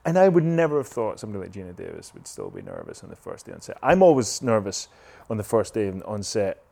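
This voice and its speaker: A man's voice: on the low side, wildly swooping pitch, fast, gravelly, dark tone. A man with a Scottish accent, an actor chatting easily in an interview, laughing often and dropping Scots words like wee. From Scotland. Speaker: actor and guest. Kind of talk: casual interview chat.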